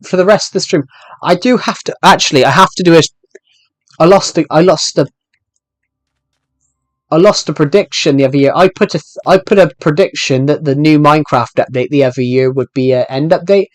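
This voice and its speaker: A man's voice: a little high, normal speed, no echo. A young man in his twenties with a thick British accent, chatting casually on a game stream and rambling as he answers viewers' questions. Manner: rambling, restarts sentences while answering chat questions. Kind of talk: casual live stream chat.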